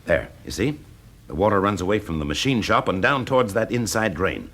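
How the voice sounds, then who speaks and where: Low, husky British fire investigator. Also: male voice, very animated, fairly quick, a bit bright, heavily acted. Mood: purposeful, reasoning. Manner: probing, businesslike, clipped questions. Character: methodical, shrewd male fire investigator, quietly in command. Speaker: actor in a radio drama. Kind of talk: classic British radio drama acting.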